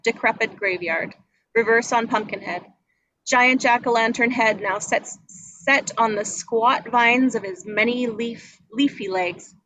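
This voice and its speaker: Woman, higher-pitched, scripted screenplay narration, stage directions, slight background noise. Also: fairly bright tone, low-quality recording. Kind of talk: reading screenplay descriptions aloud.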